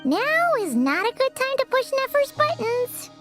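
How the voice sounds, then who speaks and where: Tiny fairy sidekick, extremely high squeaky voice, bouncy intonation, video game, clear background music. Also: female voice, big pitch swings, a little slow, exaggerated voice. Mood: cautious. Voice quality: squeaky, childlike. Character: tiny floating fairy companion, chirpy childlike girl, caring. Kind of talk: video game character acting. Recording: music playing behind the voice.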